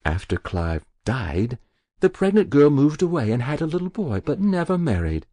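A man's voice: medium pitch, sing-song, moderate pace, dry recording, pitched above the performer's own voice. A man voicing an elderly confessing woman. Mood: pained. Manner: quiet, pained, confessional.

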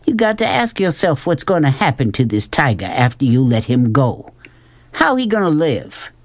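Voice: medium pitch, sing-song, normal speed, no room sound, voice pushed up. A narrator voicing a blunt, devout, weary Southern woman in an audiobook, folksy and emphatic, with a Southern US accent. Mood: scornful, firm. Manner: folksy, emphatic, matter-of-fact.

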